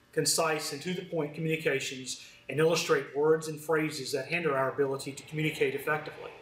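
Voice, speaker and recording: A man's voice: fairly high pitch, fairly bright tone. A man giving a formal, scripted training presentation as a fire department instructor. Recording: roomy, distant mic, faint noise.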